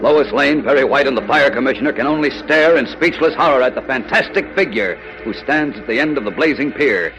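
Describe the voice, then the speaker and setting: Dramatic American radio announcer, music swelling behind him. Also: male voice, medium pitch. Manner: grandiose, suspenseful delivery. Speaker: announcer and narrator. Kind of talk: dramatic 1940s radio narration. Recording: muffled old radio recording. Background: loud dramatic music.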